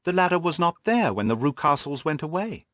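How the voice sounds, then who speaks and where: A man acts out an earnest young woman from an audiobook mystery. She is anxious yet resourceful, speaking with animated pitch in a dry, close recording. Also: a little fast, no room sound. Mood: disbelieving. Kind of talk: audiobook character dialogue.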